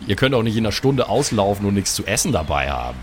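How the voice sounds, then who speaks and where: A German man with a low voice plays an old seafarer in a live tabletop game, gruff and chummy. Faint background noise sits under the recording. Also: very animated, fast talker. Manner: gruff, chummy, sailor talk with stage directions. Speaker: player voicing an old sailor. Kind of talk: improvised in-character roleplay.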